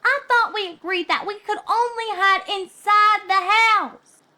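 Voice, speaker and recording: Young farm pony filly: very high, bright, earnest and a bit jumpy, for a cartoon storybook. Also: female voice, unhurried, heavily acted. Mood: startled, indignant.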